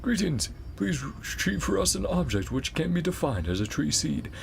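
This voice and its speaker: A man's voice: fairly high pitch, very animated, normal speed, vocal fry, a little hiss or hum, pitched above the performer's own voice. A man voicing a prim, earnest nymph scholar from a video game, talking in a stilted, formal and pedantic way. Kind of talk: reading game dialogue in a put-on voice.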